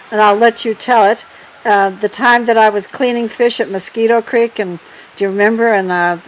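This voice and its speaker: An older woman telling stories in a lively way, laughing often and prompting her partner. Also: mid-range voice, low-quality recording. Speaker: storyteller. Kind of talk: casual storytelling.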